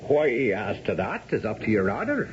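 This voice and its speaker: A man's voice: fairly low pitch, wildly swooping pitch, normal speed. An actor playing a sly, playful old Irish man with whimsical, roundabout speech, in a radio drama. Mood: sly, coaxing. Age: older. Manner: whimsical, roundabout, chuckling speech with folksy turns. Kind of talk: 1970s radio drama acting. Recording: dull, noisy vintage radio recording.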